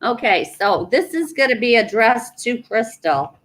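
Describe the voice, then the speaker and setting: A woman's voice: fairly high pitch, expressive, unhurried, fairly bright tone. A woman in her forties chatting and teasing on a group video call. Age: 40s. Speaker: person showing mail on a group call. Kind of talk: casual chat.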